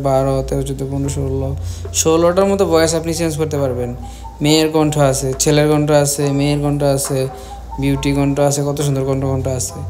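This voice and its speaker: Male tutorial host, Bangladeshi accent, instructional and repetitive, monotone delivery. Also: on the high side. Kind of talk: step-by-step software tutorial narration. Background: music.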